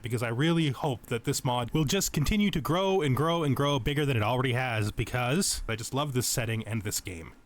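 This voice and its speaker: A man giving unscripted tutorial commentary over a video game, explaining things step by step and often repeating or rephrasing as he goes. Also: mid-range voice. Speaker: tutorial let's play host.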